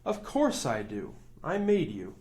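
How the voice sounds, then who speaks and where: Storybook role: big, kindly bearded craftsman, fatherly, slow and reassuring performed by a man reader. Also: mid-range voice, huge pitch swings, a little mellow, pitched below the performer's own voice. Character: kindly, fatherly old woodcarver, large bearded male maker. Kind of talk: children's storybook reading.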